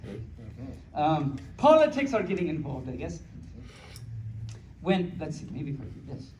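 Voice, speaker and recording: Animated, playful storyteller in an echoey room. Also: mid-range voice, normal speed. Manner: playful, childlike cutesy phrasing with exclamations. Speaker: storyteller reading picture books aloud to an audience. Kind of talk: playful live story time with ad-libbed asides. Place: small room at a convention. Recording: echoey room from far off, faint noise.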